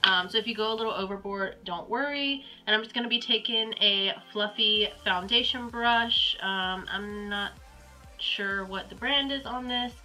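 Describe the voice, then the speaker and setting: A woman's voice: mid-range voice, moderate pace, sharp tone, soft background music, poor audio. A young woman with a Southern US accent and a stuffy, congested voice from a cold, chatting casually through a makeup tutorial at home with lots of ums and likes. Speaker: beauty vlogger.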